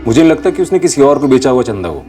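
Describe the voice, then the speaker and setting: An actor playing a rough young gang henchman in a TV crime drama, talking in brisk, coarse street speech. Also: mid-range voice, sing-song, warm tone, soft background music, close to an everyday voice. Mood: irritated. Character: rough young male gang henchman reporting to his boss.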